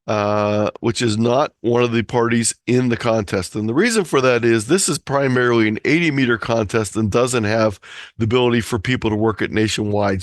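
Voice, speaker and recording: A man's voice: mid-range voice, dry recording. American man presenting, dry close sound. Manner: explanatory, instructive. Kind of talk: informal slideshow lecture on a hobby. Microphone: close computer or headset mic on a video call.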